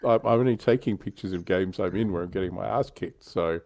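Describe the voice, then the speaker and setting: A man's voice: low-pitched, brisk, vocal fry, darkish tone, no echo. A man hosting a livestream in casual, wry, self-deprecating chat. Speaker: livestream host. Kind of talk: casual chat about tabletop wargaming.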